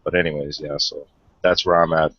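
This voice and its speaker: A man's voice: rumbling low, level tone, brisk, bright, forceful tone, dry recording. A Hungarian-born man chatting casually in an online hangout, rambling and hesitant, swearing often. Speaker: guest caller. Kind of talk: casual chat. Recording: lo-fi online call audio.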